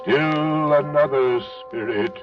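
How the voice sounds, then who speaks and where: An aged male spirit speaks slowly and gravely in 1930s radio style. The delivery is solemn and declamatory, through a dull vintage broadcast with music. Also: a little high. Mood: solemn urgency. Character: aging, dying male Christmas ghost, solemn. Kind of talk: 1930s radio drama acting.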